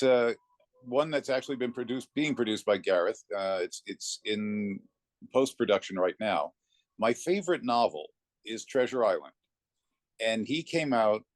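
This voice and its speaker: A man's voice: lowish voice, dry recording. A middle-aged or older man, a voice actor, telling anecdotes casually as an interview guest.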